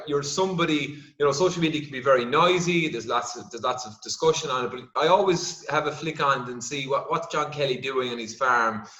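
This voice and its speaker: A man's voice: medium pitch, bright, forceful tone. An Irish man hosting a farming podcast interview, speaking in a conversational way with frequent restarts and repeated words. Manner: hesitant, repeats words while building questions. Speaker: podcast host, vet. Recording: echoey room.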